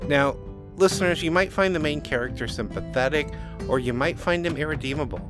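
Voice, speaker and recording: A middle-aged man speaking as author and host in measured, scripted podcast commentary. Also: on the high side, over music.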